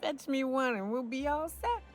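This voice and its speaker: Drawling hungover frontier hunter. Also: female voice, high voice, lively intonation, normal speed. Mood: wheeling, dealing. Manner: coarse, swearing, folksy. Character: rough, hard-drinking frontier woman hunter guide. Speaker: hunting guide. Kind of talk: video game voice acting. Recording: game audio with faint music.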